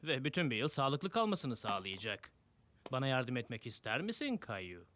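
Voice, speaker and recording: A man's voice: medium pitch, big pitch swings, normal speed, sharp tone. A man voicing a friendly, reassuring veterinarian in a children's cartoon dub, kindly in manner. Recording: music behind the voice.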